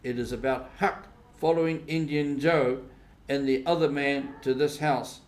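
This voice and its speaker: A man's voice: medium pitch, fairly even intonation, unhurried, lightly acted. A man voicing a smug young tattletale boy in a storybook, with a knowing, teasing manner.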